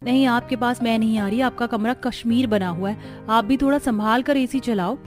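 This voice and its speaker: Woman playing young sister-in-law, highish voice, frank, advising, cartoon story, faint music. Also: normal speed, darkish tone, lightly acted. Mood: frank, concerned. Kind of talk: cartoon story character acting.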